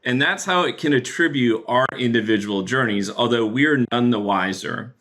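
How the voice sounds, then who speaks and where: A man explaining a business software product as a podcast guest, talking in a relaxed way with many fillers. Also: mid-range voice, normal speed. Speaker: guest, chief product officer.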